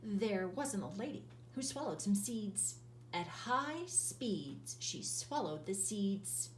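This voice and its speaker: A woman teacher reading a children's picture book aloud in an expressive, sing-song storytelling voice, drawing out words. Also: medium pitch, slow pace, echoey room, recorded from a distance, a little hiss or hum, rough recording.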